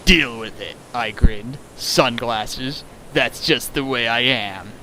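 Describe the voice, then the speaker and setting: A man's voice: mid-range voice, takes it slowly, pushed and bright, slight background noise, rough recording. A man hosting a streamed fan fiction reading, casual, playful and mocking as he reads and riffs. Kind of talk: casual riffing and dramatic reading.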